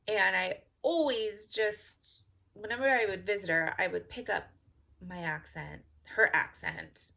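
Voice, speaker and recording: A woman's voice: mid-range voice, vocal fry, bright, forceful tone. A woman from California with a Californian accent, chatting casually to camera in a giggly, rambling way. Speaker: vlogger.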